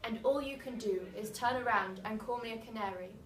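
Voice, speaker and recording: A woman's voice: on the high side, fairly quick, natural voice. Young wartime wife and factory girl played by a woman, pointed delivery, distant echoing sound. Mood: bitter, angry. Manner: declamatory, pointed. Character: soldier's young wife, bitter munitions factory worker. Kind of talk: dramatic slam poetry recital. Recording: noisy, echoey room, mic far off.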